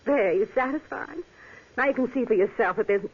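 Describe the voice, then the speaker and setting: An actress playing a persistent, exasperated young woman, a sleuth's companion, in a 1950s radio drama, with crisp, insistent questioning. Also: a little high, fairly quick, noisy background, close to an everyday voice. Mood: exasperated, cool. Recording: muffled 1950s radio recording.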